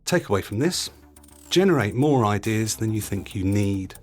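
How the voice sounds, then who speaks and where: A middle-aged British man narrating a scripted podcast as host, instructive, speaking in short deliberate phrases. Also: lowish voice, animated, breathy edge, faint music behind.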